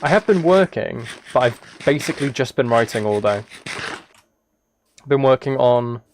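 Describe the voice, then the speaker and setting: A man chatting casually as a live game streamer, musing aloud in a relaxed, low-key way. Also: moderate pace, slight background noise. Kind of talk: casual chat over Minecraft gameplay.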